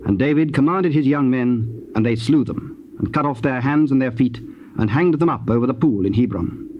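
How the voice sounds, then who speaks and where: A man with a British accent narrating scripture in a formal, measured way. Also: medium pitch. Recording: dull, faintly noisy old cassette.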